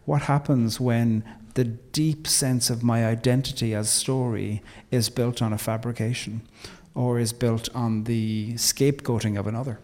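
An Irish man speaking as an interview guest, measured and thoughtful, with emphatic turns. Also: medium pitch, fairly even intonation, darkish tone, long reverb tail. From Ireland. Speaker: guest, theologian and poet. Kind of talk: reflective interview conversation.

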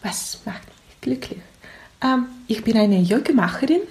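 A woman's voice: a little high, animated, a little slow, a little mellow. A woman who is not a native German speaker, with a foreign accent, giving reflective interview answers in careful, searching phrases. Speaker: interview guest.

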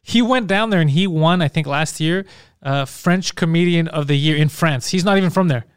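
A man from Montreal telling a story in a casual podcast chat, animated, swearing freely and laughing often. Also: fairly high pitch, normal speed, clear, resonant tone, no echo, clean studio sound. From Montreal, Canada. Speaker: comedian guest.

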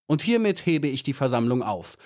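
A man's voice: medium pitch, sing-song, normal speed, darkish tone, voice pushed up, natural voice. A man voicing a female hornet queen who gives orders in a stately manner. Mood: commanding.

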